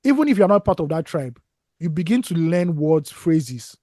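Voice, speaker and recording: A man chats on a podcast in a fairly high but mellow voice, circling through his thoughts with restarts. His phrases often trail off to a low mutter. The recording is dry and close. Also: sing-song, normal speed, no echo. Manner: discursive, restarts, drops to a mutter at phrase ends. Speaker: podcast co-host. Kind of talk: casual podcast chat.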